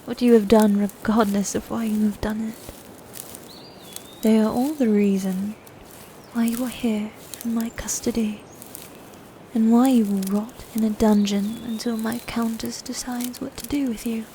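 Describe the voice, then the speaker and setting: A woman playing a proud, bitter female knight in a fantasy ASMR roleplay, breathy and often near a whisper, with formal, commanding diction. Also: on the high side, level tone, unhurried, mellow, a little hiss or hum, close to an everyday voice. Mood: scornful, stern.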